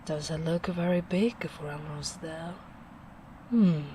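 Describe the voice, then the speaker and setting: A big, kindly ogre woman acted by a female performer speaks softly and very slowly in an ASMR roleplay. Her voice sits a little low, and she sounds caring and plain-spoken as she muses. A light bed of ambient noise runs behind her. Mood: curious, wary. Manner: unhurried, musing, soft-spoken.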